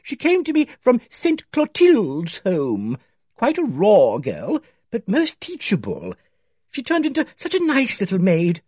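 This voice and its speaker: A man's voice: high-pitched, huge pitch swings, measured pace, darkish tone, slightly rough, no room sound, clean studio sound, voice pushed up, full character voice. A man reading aloud, voicing a timid, nervous elderly spinster lady who speaks tremulously and hesitantly.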